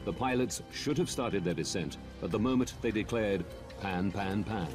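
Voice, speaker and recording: A man reading scripted documentary narration in a measured, grave manner. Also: on the low side. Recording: music bed behind narration.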